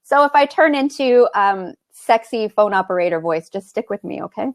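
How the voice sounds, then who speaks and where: Fast-talking woman coach with a cold. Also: medium pitch. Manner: fast-talking, energetic and encouraging. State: fighting a cold. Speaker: business coach hosting a live Q&A. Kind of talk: live online Q&A chat.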